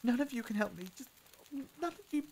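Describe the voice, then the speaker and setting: A woman playing a frightened captive in pain in an audio drama, halting and petulant as they push others away. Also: on the high side, lively intonation, gravelly, a little mellow, a little hiss or hum, rough recording. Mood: agitated, defensive.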